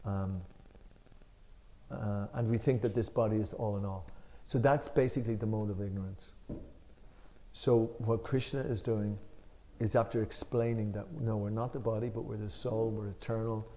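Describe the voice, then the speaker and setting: Mellow-voiced Irish man leading a study. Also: fairly low pitch, a little hiss or hum. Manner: emphatic when reading, then relaxed and unhurried with fillers. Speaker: scripture discussion leader. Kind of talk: reading a commentary aloud, then explaining it.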